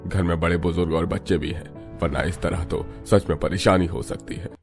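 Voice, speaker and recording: Man playing a serious low-voiced village headman. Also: fairly even intonation, gravelly, music in the background. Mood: grave. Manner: serious, measured, instructing. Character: concerned village headman, sensible and authoritative. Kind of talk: animated Hindi cartoon story.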